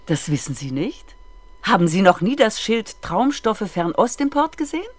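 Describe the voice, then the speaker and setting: A woman's voice: medium pitch, big pitch swings, moderate pace, no echo. A woman playing a proud middle-aged mother-in-law facing police questions in a 1970s German radio crime play. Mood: chatty. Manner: chatty, then sharply indignant.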